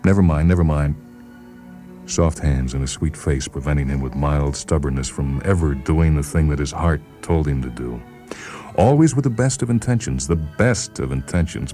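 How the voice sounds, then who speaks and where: A man giving a measured, expressive, dramatic reading of a literary short story. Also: very deep voice. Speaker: narrator, short story reader. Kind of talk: dramatic scripted literary narration.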